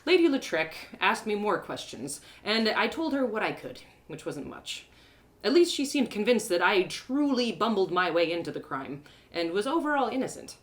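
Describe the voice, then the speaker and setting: A woman reading a first-person fantasy story as an expressive, descriptive storyteller. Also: mid-range voice, normal speed.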